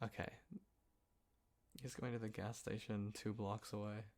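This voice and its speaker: A man acting an easygoing, playful young guy in a casual roleplay audio, talking in a halting, chuckling, naturalistic way with a friend. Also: on the low side, level tone. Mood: amused exasperation. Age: young adult.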